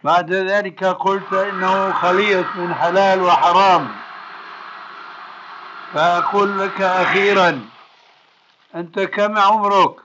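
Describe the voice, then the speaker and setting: A man's voice: high voice, fairly even intonation, a little slow, pauses often. An older man, a religious scholar answering questions in a blunt, admonishing, insistent manner. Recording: muffled, noisy old cassette tape recording.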